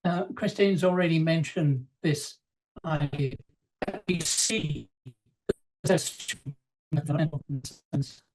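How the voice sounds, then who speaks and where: A woman presenting in a webinar, explaining slides in a measured, formal, semi-scripted way. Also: low voice, darkish tone, low-quality recording.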